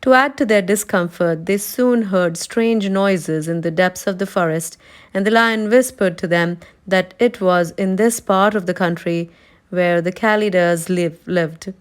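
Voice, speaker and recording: A woman's voice: lowish voice, unhurried. A woman narrating a children's novel as a read-aloud storyteller. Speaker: storybook reader.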